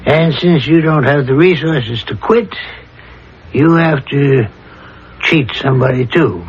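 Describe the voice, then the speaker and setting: An actor playing a calm, insistent psychiatrist with a measured, deliberate delivery in a 1970s radio drama. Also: male voice, mid-range voice, breathy edge. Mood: pressing. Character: calm, probing male psychiatrist pressing his patient with theories. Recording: dull lo-fi 1970s radio recording.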